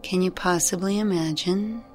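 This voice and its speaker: A woman guiding a meditation in a gentle, soothing, unhurried way, leaving long pauses between phrases. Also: on the low side, steady tone. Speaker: meditation guide. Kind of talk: guided meditation narration. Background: music.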